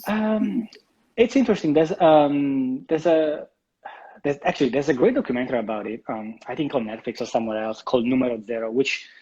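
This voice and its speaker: A man in his thirties from Italy with an Italian accent, speaking English as a guest in a live interview, relaxed and hesitant with many ums and restarts. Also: mid-range voice, a little fast, husky, lo-fi sound. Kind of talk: casual interview, live stream.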